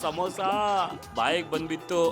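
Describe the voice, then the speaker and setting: A man's voice: fairly high pitch, little pitch movement, normal speed, lightly acted. A man voicing an easygoing, greedy cartoon fellow who loves food, with exclamatory, savouring delivery. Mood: delighted. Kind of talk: animated cartoon dubbing. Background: music.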